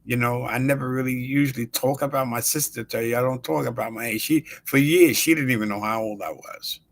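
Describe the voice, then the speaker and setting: Gravelly man in his sixties rambling. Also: medium pitch, a little hiss or hum. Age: 60s. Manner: rambling, halting, many fillers; greets viewers warmly by name. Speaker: show host. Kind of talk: casual live-stream talk.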